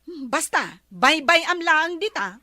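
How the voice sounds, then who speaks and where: Woman acting shrill, nagging, high-voiced wife. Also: very animated, a little slow, pushed and bright, no room sound, studio quality, exaggerated voice. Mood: scolding. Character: fretful, scolding wife struggling with money. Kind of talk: Ilocano comedy drama acting.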